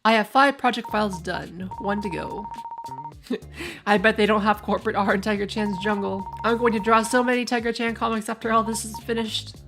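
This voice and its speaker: A young woman with a raspy voice, chatting in a rambling, filler-heavy way while she plays a game on a live stream, speaking into a desk microphone. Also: mid-range voice, steady tone, moderate pace, music in the background. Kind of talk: casual live-stream gaming chat.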